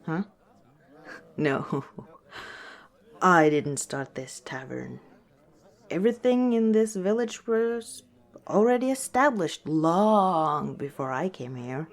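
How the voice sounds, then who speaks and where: A woman playing a friendly, teasing orc tavern owner in a softly spoken ASMR roleplay, chatting gently and without hurry. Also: on the low side, takes it slowly, pauses often. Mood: reflective.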